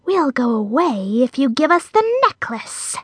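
A menacing female spider from a storybook, acted out by a woman narrator. She taunts in a ghostly, whispery hiss, drawing every word out very slowly. The sound is close and dry. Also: high-pitched, big pitch swings, a bit bright, slightly rough, dry recording, pitched above the performer's own voice, heavily acted. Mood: hissing threat. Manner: slow, drawn-out, menacing taunts.

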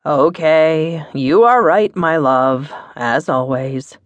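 A woman's voice: sits low, takes it slowly, dry recording, lo-fi sound. A woman voicing an eager, agreeable husband speaking to his wife in a story.

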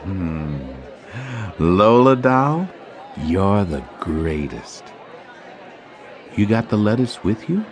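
A sly, scheming jazz clarinetist with a low, smooth voice that swings up and down as he sweet-talks and sneers. Faint chatter of a club crowd hums behind him in this radio drama. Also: sing-song, drawn-out words, long pauses, full character voice. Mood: sweet-talking. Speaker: actor in audio drama.

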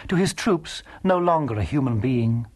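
A man giving scripted, dramatic historical narration in a measured, emphatic manner with a husky voice. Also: mid-range voice, lively intonation, darkish tone.

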